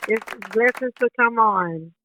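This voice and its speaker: A woman's voice: fairly high pitch, measured pace, bright, forceful tone, a faint crowd, a little hiss or hum. A woman singer from southeast Texas, telling her story as a radio guest. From southeast Texas and Louisiana. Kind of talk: phone-in interview. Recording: tinny phone line.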